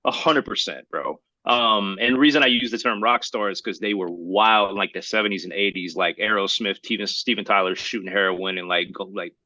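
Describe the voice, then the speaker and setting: A man co-hosting a podcast in casual, slangy, opinionated chat, talking in a halting, rambling way and circling back to qualify his points. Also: on the low side, sharp tone, dry recording. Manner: halting, rambling, hedging delivery; slangy, addresses listener as bro. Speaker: podcast co-host.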